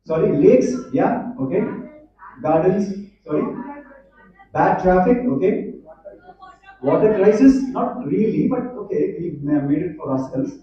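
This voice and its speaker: A man's voice: high voice, animated, unhurried. A man with an Indian English accent giving a conference talk to students, chatty and full of fillers, often asking the audience questions. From Hyderabad, India. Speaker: conference speaker. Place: large echoey college hall. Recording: echoey hall, distant, dull.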